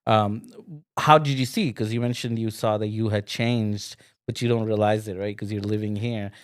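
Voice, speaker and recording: A man's voice: on the low side, steady tone, moderate pace, smooth. A man from India hosting a podcast, chatting in an easygoing, friendly way with fillers and laughs along the way. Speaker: podcast host. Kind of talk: casual interview chat.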